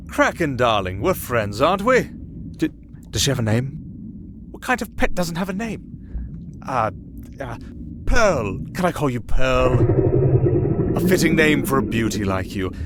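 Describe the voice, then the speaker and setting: Castaway sailor-singer for fantasy audio roleplay, male actor. Medium male pitch, wry and faintly sad, halting asides, noticeable background ambience. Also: natural voice. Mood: coaxing. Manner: hesitant, dryly sardonic, chatty. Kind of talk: ASMR roleplay monologue. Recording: clearly audible ambient noise under voice.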